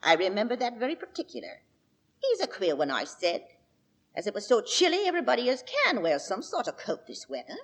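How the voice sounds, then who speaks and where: Working-class witness: stubborn, emphatic, colloquial, husky, lively radio-drama delivery. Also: female voice, mid-range voice, fairly quick, heavily acted. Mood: stubborn, indignant. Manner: emphatic, colloquial, repeats herself. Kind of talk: old-time radio drama acting.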